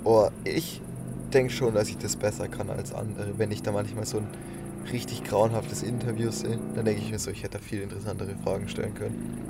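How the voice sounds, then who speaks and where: A man's voice: lowish voice, flat delivery, a little fast, very noisy. A man in casual podcast chat, musing and thinking aloud in loose phrasing. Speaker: podcast co-host.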